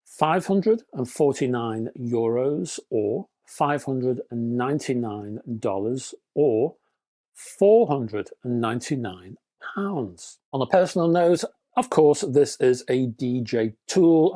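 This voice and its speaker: Guy doing a hi-fi news roundup, mid-pitched, dry wry jokes between specs, chatty with the folks watching. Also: lively intonation, takes it slowly, dark tone. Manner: dry, wry humor between spec readouts; chatty, addresses viewers as folks. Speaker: hi-fi news channel host. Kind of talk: scripted news roundup with asides.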